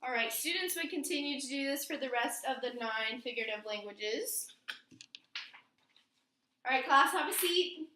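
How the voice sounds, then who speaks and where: A woman's voice: high-pitched, animated, normal speed, long pauses, pushed and bright, slight background noise. A woman teacher leading a classroom lesson and reading poetry aloud, instructing and asking prompting questions in an indoor room. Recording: roomy, echoing classroom sound.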